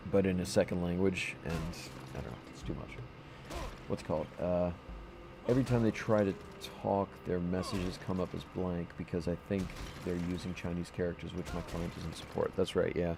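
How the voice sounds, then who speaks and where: A man's voice: deep voice, steady tone, moderate pace, creaky, background noise. A man streaming a game, chatting casually with his chat in a rambling way, full of restarts and fillers. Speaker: livestreamer playing a game. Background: background music.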